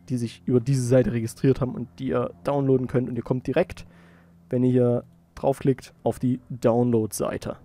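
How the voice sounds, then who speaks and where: A man giving a casual software tutorial, informally thinking aloud as he goes. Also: medium pitch. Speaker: tutorial host.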